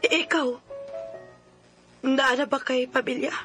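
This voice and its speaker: A woman's voice: high-pitched, steady tone, takes it slowly. An actress playing a regretful, plaintive woman longing for an old love in a Filipino Cebuano radio drama. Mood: yearning. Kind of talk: sentimental radio drama acting. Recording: radio drama with music bed.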